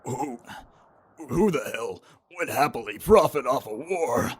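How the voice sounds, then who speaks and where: A man playing an earnest, strained young fighter in an animated action story, intense and gravelly, with long reverb. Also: medium pitch, a little slow. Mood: indignant. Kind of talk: amateur animated action voice acting.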